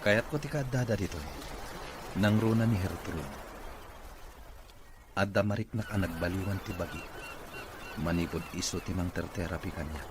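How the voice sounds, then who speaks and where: A man's voice: sits low, normal speed, pauses often, noisy background. A man playing an ailing company boss in an Ilocano radio drama, weary yet hopeful. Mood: earnest, hopeful.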